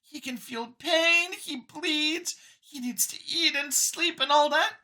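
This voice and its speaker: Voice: high-pitched, slow, pushed and bright, pitched above the performer's own voice, full character voice. A narrator voicing a small female alien mouse scientist in an audiobook, chirpy, slightly hesitant and chatty as she explains. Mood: explaining, upbeat.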